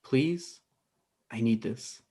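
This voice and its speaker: A man's voice: medium pitch, very slow, warm tone. A man in his thirties playing a needy, wounded, blustering dumped boyfriend in a table read over a video call. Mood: pleading, hurt. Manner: pleading, blustering. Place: indoor room on a video call.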